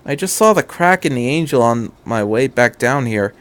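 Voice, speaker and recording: Man voicing a quiet, timid teenage boy. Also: medium pitch, lively intonation, normal speed, close to an everyday voice. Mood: evasive. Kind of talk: fan fiction audiobook character.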